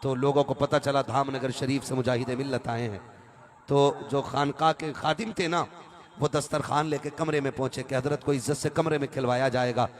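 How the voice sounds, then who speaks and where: A man's voice: mid-range voice, steady tone, moderate pace. Man preaching to a gathering. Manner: conversational, calls on listeners to respond aloud. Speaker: Islamic preacher. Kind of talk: religious sermon to a live gathering, with recited verse.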